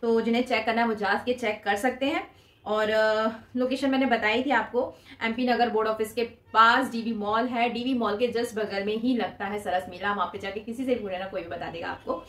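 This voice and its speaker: A young woman chats casually through a shopping haul, holding each piece up to the camera as she talks. Her voice sits fairly high for a woman. The small indoor room gives it a noticeable echo. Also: steady tone, normal speed, fairly bright tone. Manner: chatty, showing items to camera with her hands. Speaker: vlogger.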